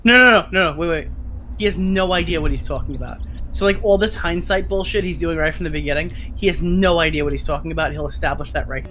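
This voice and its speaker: Fast, higher-voiced young man. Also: sharp tone, a little hiss or hum. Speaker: livestreamer reacting to a video. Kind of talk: casual reaction chat.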